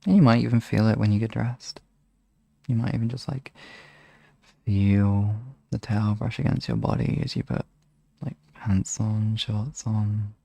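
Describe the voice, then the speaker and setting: A man with a lowish voice and a lot of creak talks in a soft, relaxed way, as an ASMR streamer does with his listeners. The sound is dry and close, and he takes his time in casual chat. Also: unhurried, dry recording. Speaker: ASMR hypnosis live streamer.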